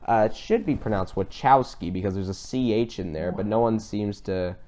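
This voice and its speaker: A man's voice: on the low side, dark tone. Rambling man chatting casually about films. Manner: rambling, halting, circles back mid-sentence. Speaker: podcast host. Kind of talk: casual film review discussion.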